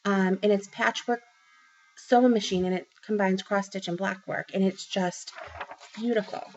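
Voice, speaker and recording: Chatty Boston woman, gravelly voice. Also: mid-range voice, steady tone, moderate pace, darkish tone, slight background noise. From Boston area, Massachusetts. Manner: chatty, rambling, many ums and restarts. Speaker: craft vlogger. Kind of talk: casual chat to camera. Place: small room at home, indoors. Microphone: webcam close up. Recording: dull lo-fi webcam sound.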